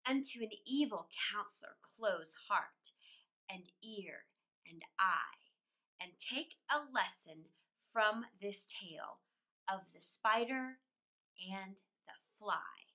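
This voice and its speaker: A middle-aged woman reading a poem aloud for children as a storytime host, theatrically, with dramatic pauses. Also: medium pitch, lively intonation, sharp tone. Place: small indoor room with plain wall.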